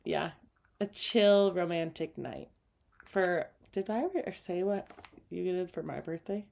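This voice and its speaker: A young woman co-hosts a relaxed chat with her partner. She sounds bubbly and expressive, laughing readily and gasping when surprised. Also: on the low side, creaky. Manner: bubbly, laughs often, quick gasps of surprise. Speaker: podcast co-host. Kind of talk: casual couple's chat.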